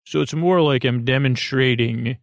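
A man with a creaky, gravelly voice hosting a sleep podcast in a meandering, halting, goofy monologue that keeps interrupting itself. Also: medium pitch, no room sound, clean studio sound. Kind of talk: rambling bedtime monologue.

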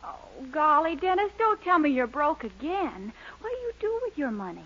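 An actress playing an eager young daughter and sweetheart in a 1940s radio comedy, gushing and exclamatory. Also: female voice, high-pitched, very animated, moderate pace, fairly bright tone. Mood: dismayed. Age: young adult. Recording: muffled, noisy 1940s radio recording.

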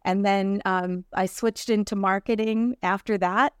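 A woman's voice: medium pitch, steady tone, a little slow, smooth, dry recording, studio quality. A middle-aged woman from New Jersey, a podcast guest who tells her story in a chatty, easy way and laughs often. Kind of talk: casual interview.

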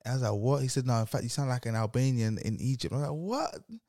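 A man, a guest on a football podcast, talking in an animated and opinionated way. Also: medium pitch, quick pace, no echo, studio quality. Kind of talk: casual football chat.

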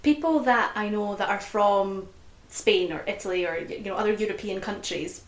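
A woman's voice: mid-range voice, normal speed. A young woman from the UK co-hosting a podcast, chatting casually and voicing her opinions emphatically. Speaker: podcast co-host. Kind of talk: casual chat. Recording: roomy echo.

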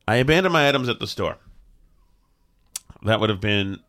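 A man's voice: on the low side, animated, measured pace, fairly bright tone. A man co-hosting a casual live-stream chat, ranting sarcastically while coughing and losing his voice. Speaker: co-host.

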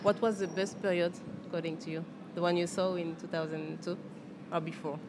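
A host who reads a scripted introduction, then asks an interview guest short questions and fills in with quick agreeing interjections. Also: medium pitch, level tone, noisy background.

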